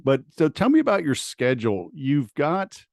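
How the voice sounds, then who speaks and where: A man's voice: on the high side, wildly swooping pitch, normal speed, creaky, no echo, studio quality. A man hosting a podcast, chatting casually and saying "like" and "dude" a lot. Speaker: podcast host. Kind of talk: casual interview talk.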